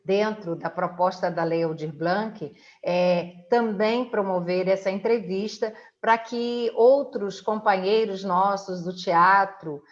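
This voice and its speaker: A middle-aged woman from the of Rio de hosts a conversational interview from home, often halting to search for words. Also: mid-range voice, steady tone, dull audio. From Brazil. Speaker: interviewer and host. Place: indoors at home.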